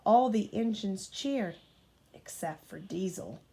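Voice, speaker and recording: A woman reading a children's bedtime story aloud as a mother would, with expressive delivery. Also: mid-range voice, takes it slowly. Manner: expressive, acts out character dialogue.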